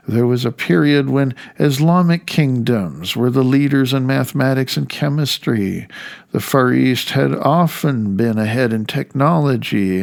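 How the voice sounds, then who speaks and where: Gravelly middle-aged man narrating. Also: mid-range voice, a little mellow. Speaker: author reading own audiobook. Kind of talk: audiobook narration of a reflective essay.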